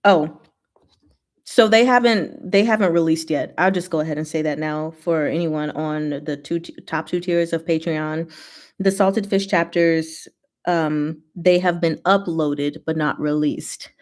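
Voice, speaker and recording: Slow-paced woman livestream host. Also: low-pitched. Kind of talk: casual livestream chat with fanfiction reading.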